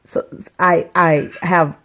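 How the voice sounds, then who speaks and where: Casual vlogger, woman, a little hoarse from a cold, upbeat and talky, lower pitch, recorded on a camera mic indoors. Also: flat delivery, slow pace, a bit bright. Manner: chatty, upbeat, talks to viewers as y'all. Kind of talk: casual budget vlog. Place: small rented apartment indoors.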